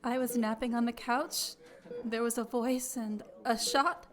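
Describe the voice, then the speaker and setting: A woman's voice: a little high, steady tone, close to an everyday voice. A woman playing a dazed elderly aunt. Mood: dazed, shaken. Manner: halting, repeating words. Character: elderly aunt, dazed and calm after a shooting.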